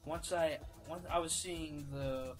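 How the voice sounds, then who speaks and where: A man's voice: a little high, steady tone, slow pace, faint music behind. A young man hosting a casual podcast chat, rambling and laughing, swearing freely. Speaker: podcast host.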